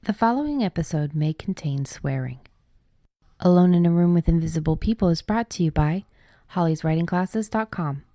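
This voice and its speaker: A woman's voice: fairly low pitch, lively intonation, moderate pace, dark tone. A woman hosting a podcast in an easy, conversational way. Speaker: podcast host. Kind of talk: scripted introduction and casual co-host chat.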